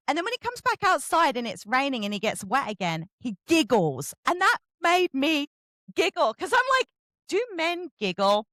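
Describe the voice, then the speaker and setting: Female interviewer, highly animated intonation, dry sound, effusive casual chat style. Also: no echo. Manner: effusive, expressive. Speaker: interviewer, book show host.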